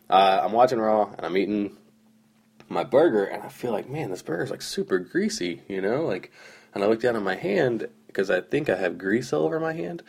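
A young man with a hoarse, groggy voice, rambling through casual stories on his solo podcast with lots of fillers. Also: on the low side, a little mellow. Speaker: podcast host.

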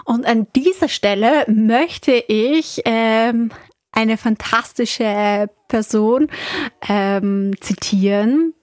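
Woman, radio host, fairly high voice, dry close studio sound, conversational interviewing. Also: very slow, a little mellow, dry recording.

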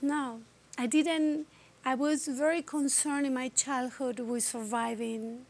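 A middle-aged woman from Argentina with an Argentine accent and a slightly raspy voice, speaking earnestly as an interview guest. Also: high voice, fairly even intonation. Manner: earnest, unhurried, restarts phrases. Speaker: guest, teacher of voice and body techniques. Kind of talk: conversational interview.

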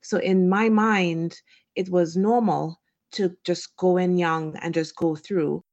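Low, creaky woman from Trinidad and Tobago. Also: steady tone, slow pace, a little mellow. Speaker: physician guest on a podcast. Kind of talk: conversational interview answers. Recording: dull, lo-fi call audio.

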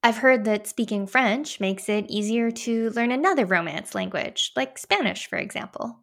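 A woman's voice: a little high, animated, normal speed, vocal fry, fairly bright tone. A woman speaking as an interviewer in a conversational educational discussion.

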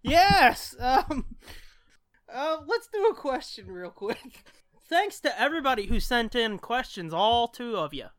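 A man's voice: extremely high pitch, sing-song, unhurried, a bit bright, dry recording. A man co-hosting a podcast in casual banter, joking and crude with plenty of swearing. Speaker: podcast co-host.

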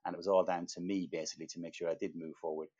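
A middle-aged man with an Irish accent, a guest telling his career story in brisk, upbeat interview answers. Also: deep voice, flat delivery, darkish tone. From Ireland. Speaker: podcast guest. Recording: slightly dull lo-fi audio.

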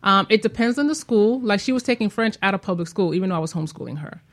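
A woman's voice: mid-range voice, animated, fast talker. A woman speaking as a homeschooling parent and guest in a casual radio interview, explaining things emphatically.

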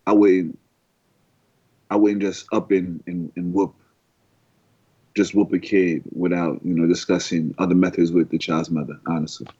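Low-voiced man, earnest and halting. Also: level tone, mellow, breathy edge, dull audio. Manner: earnest, halting, restarts often. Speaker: podcast co-host. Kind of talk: casual chat.

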